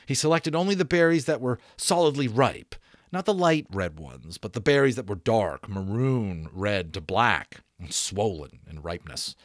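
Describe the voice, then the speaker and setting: A man in his thirties narrates a novel in a fairly low voice. His delivery is expressive and dramatic, recorded close to the microphone with very little room sound. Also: normal speed, dry recording. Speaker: audiobook reader, voice actor. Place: small home room, indoors. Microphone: close desk microphone.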